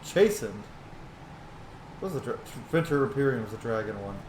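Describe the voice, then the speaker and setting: Casting: male gamer commentator, mid-pitched, informal and sweary, explaining as he plays, roomy sound. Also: sing-song, moderate pace, a little mellow, slight background noise. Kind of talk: casual game commentary.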